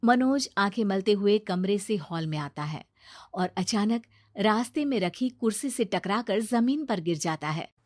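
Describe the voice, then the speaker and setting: A woman's voice: medium pitch, lively intonation, measured pace, a little mellow, no echo, clean studio sound. A woman narrating a scripted children's story.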